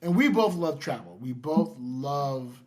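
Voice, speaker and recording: A man hosting a podcast, telling stories casually in a halting, self-deprecating way. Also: a little high, lively intonation. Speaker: podcast host. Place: in-person podcast recording in Las Vegas.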